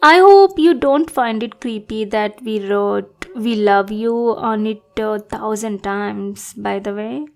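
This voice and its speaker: A woman's voice: fairly high pitch, gravelly. A woman playing an obsessive, lovestruck bodyguard in an audio roleplay, sweet and awkwardly gushing as she talks to her boss. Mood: sheepish. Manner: sweet, adorkable, slow, gushing then backpedalling. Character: obsessive, lovestruck young female bodyguard, sweetly bossy and possessive. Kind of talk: acted audio roleplay addressed to listener.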